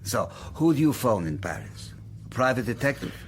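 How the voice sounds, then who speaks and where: A man's voice: mid-range voice, sing-song, moderate pace, a little hiss or hum, natural voice. An actor playing a hospitable, worldly man in a radio drama, talking in a knowing, speculative way.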